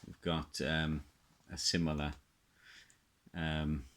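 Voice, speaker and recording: A middle-aged man giving a casual, unscripted show-and-tell, halting and musing as he repeats himself. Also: extremely low pitch, flat delivery, a bit bright. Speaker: hobbyist presenter.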